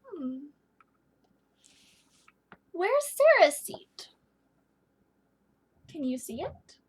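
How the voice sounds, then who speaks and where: Woman, teacher, high-pitched voice, slow pace, children's story read-aloud, questioning listeners. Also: very animated.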